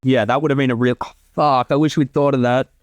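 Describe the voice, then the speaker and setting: A man's voice: mid-range voice, fairly even intonation, a little fast, dry recording, dull audio. A man giving informal, chatty creator commentary on his own fan series, with casual swearing.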